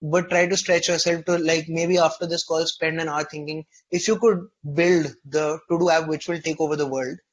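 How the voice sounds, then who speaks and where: Articulate higher-pitched male interview coach. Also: flat delivery, moderate pace, few pauses, low-quality recording. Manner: articulate, explanatory, constructive. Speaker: interview coach giving feedback. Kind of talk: conversational mock interview feedback.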